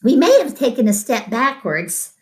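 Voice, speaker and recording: Woman playing an earnest, high-voiced young knight. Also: big pitch swings, normal speed. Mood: encouraging. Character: kindly, bookish young male knight, encouraging.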